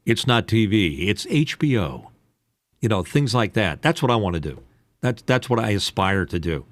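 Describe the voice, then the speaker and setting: Low-voiced man in his late sixties. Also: very animated, moderate pace, no echo. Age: late 60s. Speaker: voice-over announcer and podcast host. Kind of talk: casual chat, coaching on voice-over.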